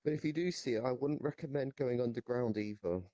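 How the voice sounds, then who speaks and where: A young man improvising comedic chat in a deadpan, hesitant way. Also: mid-range voice, a little fast, dry recording. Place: online voice chat in a virtual reality game.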